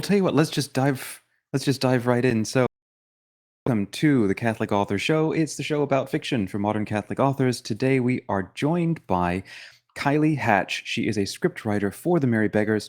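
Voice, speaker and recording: A man's voice: lowish voice, darkish tone. A man hosting a podcast, introducing and interviewing in a conversational style. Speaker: podcast host.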